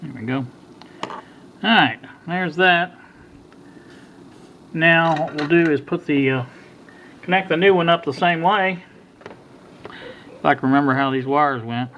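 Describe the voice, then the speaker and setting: A man's voice: fairly high pitch, a little slow, pauses often, lo-fi sound. A man in his sixties giving a casual, unscripted how-to talk in a hesitant, rambling way, as a hobbyist repair host. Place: kitchen at home. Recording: clear background noise, amateur home video.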